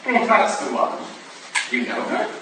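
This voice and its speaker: Man acting a swaggering, lively English gentleman rake. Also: sits high, unhurried, heavily acted. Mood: self-important. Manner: swaggering, confiding, breezy. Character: boastful, drunken upper-class English gentleman rake. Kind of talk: staged reading of period drama. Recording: echoey room from far off, noisy.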